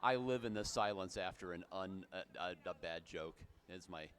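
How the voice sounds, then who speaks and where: A man in his forties doing live play-by-play for roller derby, joking between jams and getting excited during the action, his voice low and tired. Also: normal speed. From United States. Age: 40s. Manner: excitable, jokey, rising to shouts during play. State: tired low voice after a show. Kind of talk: live sports commentary.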